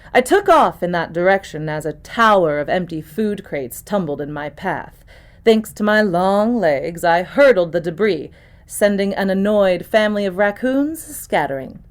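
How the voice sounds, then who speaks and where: A woman reads a first-person mystery story in a mid-range voice. It is scripted audiobook narration, delivered by an actor as storyteller. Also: medium pitch. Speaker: actor reading an audiobook chapter.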